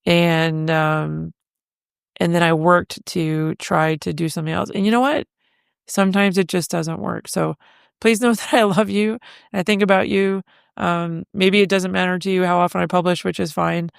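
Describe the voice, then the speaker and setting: A woman's voice: lowish voice, steady tone, moderate pace, creaky, clean tone, no echo, clean studio sound. A middle-aged woman hosting her own podcast in casual solo chat, chatty and self-deprecating, laughing often. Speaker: podcast host. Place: home living room.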